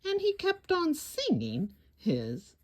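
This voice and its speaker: A woman hosting a children's story time, reading a picture book aloud in a theatrical, deliberate way with exclamations. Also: high voice, huge pitch swings, a little hiss or hum. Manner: slow, deliberate phrasing for young children. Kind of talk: animated read-aloud picture book storytelling with sung refrains.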